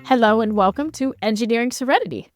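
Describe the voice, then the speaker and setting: A woman's voice: fairly high pitch, expressive, fast talker, faint music behind. A woman hosting a podcast, asking questions in a conversational interview style.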